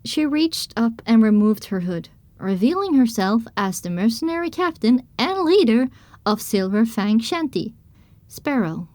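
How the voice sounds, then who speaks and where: A woman reading scripted first-person narration as the heroine of an audio drama, recounting her dark adventure. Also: on the high side, big pitch swings, unhurried, no room sound. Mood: somber recounting.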